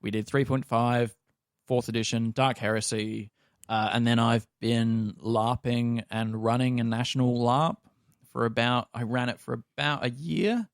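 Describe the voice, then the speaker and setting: A man's voice: mid-range voice, steady tone, unhurried, no room sound, studio quality. A man in his thirties with an Australian accent, talking casually as a podcast co-host. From rural Australia.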